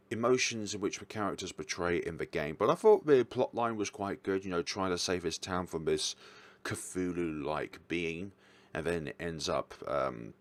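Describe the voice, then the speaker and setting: A man giving casual, informal commentary as a reviewer, talking in a rambling way. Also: low voice. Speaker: visual novel reviewer.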